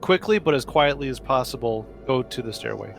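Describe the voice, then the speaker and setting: A man's voice: mid-range voice, expressive, a little fast, vocal fry, fairly bright tone, faint music behind. Man playing a tabletop game, mid-range voice. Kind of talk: casual in-game planning talk.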